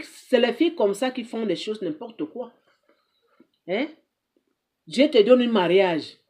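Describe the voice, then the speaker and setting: Woman, somewhat high-pitched, emphatic, moralizing, repetitive, rhetorical questions. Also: a little mellow. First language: not French. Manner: emphatic, preachy, repeats herself, rhetorical questions. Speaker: advice show host. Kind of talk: advice monologue to mothers.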